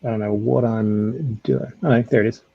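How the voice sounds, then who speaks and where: A man's voice: on the low side, steady tone, normal speed, gravelly, dry recording, dull audio. A man chatting casually and loosely in a roundtable on a video call.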